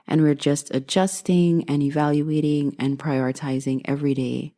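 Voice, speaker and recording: A woman's voice: rumbling low, warm tone. A woman from Guyana hosting her own podcast, talking straight to her listeners in a grateful, upbeat way. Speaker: podcast host. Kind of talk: casual solo podcast monologue.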